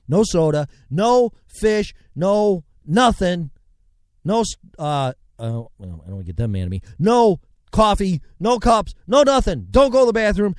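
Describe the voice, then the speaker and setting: A man hosts a show with a rambling, sarcastic rant, dropping to mutters and then flaring into outbursts. His pitch leaps around a great deal. The sound is dry and close. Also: dry recording. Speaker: show host. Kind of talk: ranting commentary and news reading.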